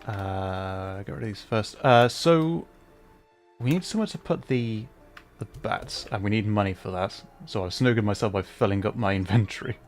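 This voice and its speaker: A man's voice: lowish voice, normal speed, soft background music. A man casually chatting on a gaming livestream, thinking aloud in a hesitant way. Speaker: streamer. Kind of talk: casual livestream gameplay chat.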